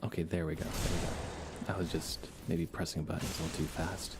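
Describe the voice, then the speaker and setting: A man giving soft-spoken, relaxed and unhurried ASMR commentary while he plays a video game live. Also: a bass voice, heavy background noise. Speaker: livestreamer playing a video game.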